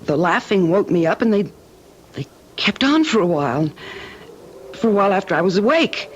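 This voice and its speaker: A woman's voice: mid-range voice, very animated, moderate pace, natural voice. A woman acting a frightened, weary but stubborn wife in a vintage radio drama, with hesitant, stammering speech. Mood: insistent, anxious. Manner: hesitant, stammering, repeats words. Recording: dull, noisy old radio recording.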